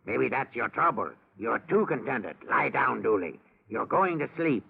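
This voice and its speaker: Gravelly comic amateur hypnotist. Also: male voice, medium pitch, very animated, a little slow, full character voice. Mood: commanding. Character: smooth-talking amateur hypnotist, commanding, comic. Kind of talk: old radio comedy advertisement. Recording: thin, phone-like old radio recording.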